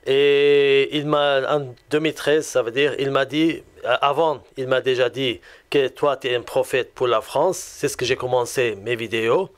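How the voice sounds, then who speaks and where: Male guest, Lebanese accent, clear resonant voice, mid pitch, halting testimony delivery. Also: steady tone. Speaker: guest giving a religious testimony. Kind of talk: personal testimony in conversation.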